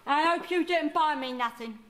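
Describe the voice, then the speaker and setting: A woman's voice: high voice, moderate pace, fairly bright tone. A woman playing a foul-mouthed elderly grandmother, who fawns over people to their faces and curses them behind their backs. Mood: fussing. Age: older. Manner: sweetly fawning to faces, cursing behind backs. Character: foul-mouthed elderly grandmother, two-faced and sharp-tongued. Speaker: sitcom character. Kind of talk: sketch comedy acting.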